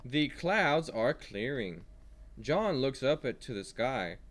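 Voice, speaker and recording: Animated man reading aloud to young children. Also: medium pitch, slow pace. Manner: animated storytelling with simple questions to children. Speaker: kindergarten teacher.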